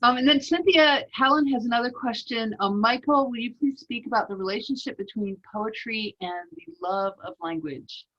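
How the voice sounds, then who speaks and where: A woman's voice: fairly high pitch, moderate pace, few pauses, muffled recording. A woman moderator reading out audience questions, brief and businesslike.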